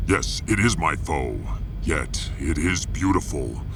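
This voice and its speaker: A man's voice: lively intonation, takes it slowly, exaggerated voice. A man playing a solemn, honor-obsessed warrior crewman in a sci-fi audio drama, speaking formally and reverently. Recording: rumbling noise behind.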